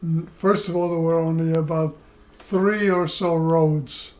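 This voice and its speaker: An older man from Poland, seated at home, telling his life story in a slow, measured way with many pauses, recorded by a camera across the room. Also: on the high side, fairly even intonation, a little mellow. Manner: slow, measured, pausing between phrases. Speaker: interviewee. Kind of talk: oral history storytelling. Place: living room, indoors.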